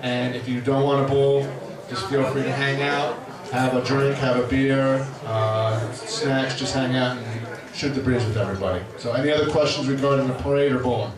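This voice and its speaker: A man's voice: mid-range voice, fairly even intonation, moderate pace, hardly any pauses. A man giving casual event announcements at a group meeting, with many fillers. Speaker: event organizer. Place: meeting hall. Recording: echoey hall from far off. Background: crowd noise.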